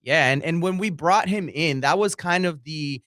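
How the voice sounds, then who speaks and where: A man co-hosting a sports show, giving measured football analysis in a conversational way. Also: on the high side, fairly bright tone, dry recording, clean studio sound.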